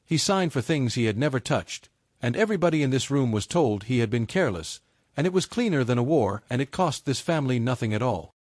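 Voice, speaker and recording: A man's voice: medium pitch, animated, brisk, a little mellow, no echo. A man narrates dramatic fiction in the manner of an audiobook. His voice moves expressively up and down, and the sound is very dry, with no room on it.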